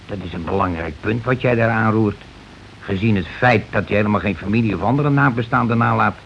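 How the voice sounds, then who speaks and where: A gruff working-class husband from a radio play, an ex-soldier who blusters, dodges and schemes in colloquial speech. The old recording is dull, with some noise behind him. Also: male voice, fairly low pitch, brisk. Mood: scheming. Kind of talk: Dutch radio play acting.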